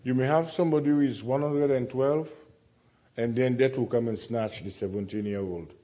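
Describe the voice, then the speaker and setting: A man's voice: medium pitch, moderate pace, dark tone, lo-fi sound. A man preaching a sermon to a congregation, speaking emphatically, repeating phrases and putting rhetorical questions to his listeners.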